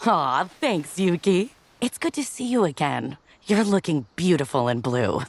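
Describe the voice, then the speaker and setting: A woman's voice: low-pitched, lively intonation, a little slow, husky, exaggerated voice. A woman voicing an easygoing, frank and supportive woman in a motion comic, with casual, slangy phrasing. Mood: playful.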